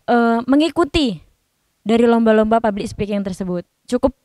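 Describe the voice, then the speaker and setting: A woman's voice: a little high, a little mellow, dry recording, studio quality. A young woman from Indonesia, a university student guest on a podcast, answering earnestly with some hesitation. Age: young adult.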